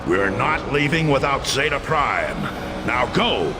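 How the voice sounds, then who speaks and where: An actor playing a noble, resolute robot leader giving orders in a video game. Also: male voice, mid-range voice, very animated, slow pace. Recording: echoey, loud music and machinery.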